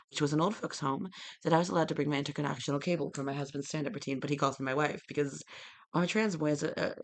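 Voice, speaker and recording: Young man, rapid-fire rambling vlog, gravelly fry, sudden loud bursts. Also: a little high. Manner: disjointed, with sudden loud outbursts. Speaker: vlogger. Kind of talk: rambling monologue to camera.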